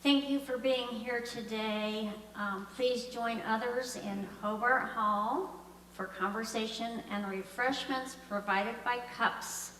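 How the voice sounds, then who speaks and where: A woman gives congregational announcements in a bright, forward voice. She speaks slowly, and the sound is distant from the mic with a long hall reverb. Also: a little high, fairly even intonation. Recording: echoey hall, distant mic, background noise.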